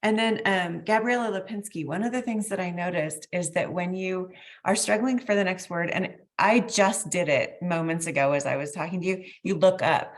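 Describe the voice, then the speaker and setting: A woman's voice: fairly low pitch, moderate pace. A middle-aged woman giving feedback as a judge in a conversational, hedging way over a video call. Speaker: competition judge. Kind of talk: spoken feedback to students. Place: indoor room at home, on a video call. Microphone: video call microphone. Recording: dull video-call audio.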